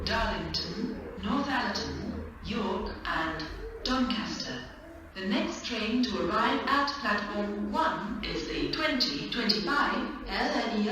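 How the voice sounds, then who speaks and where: Echoey, slow female station announcer. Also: medium pitch, a bit bright, far from the mic. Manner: measured, list-like delivery in separate phrases. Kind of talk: automated scripted train announcements. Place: railway station platform, over public address speakers. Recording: echoey station PA from afar, faint wind noise.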